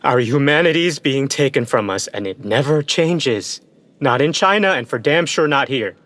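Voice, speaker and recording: A man's voice: mid-range voice, animated, moderate pace, a bit bright, no room sound, lightly acted. A man in an audio play playing an indignant, idealistic young son with an American accent and an impassioned, exasperated manner. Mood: anguished, indignant.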